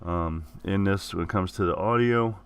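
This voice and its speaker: Gaming host, low male voice, a bit rough from the flu, rambles and restates himself. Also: normal speed. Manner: rambling, repeats and corrects himself. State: hoarse, getting over the flu. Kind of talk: casual giveaway announcement.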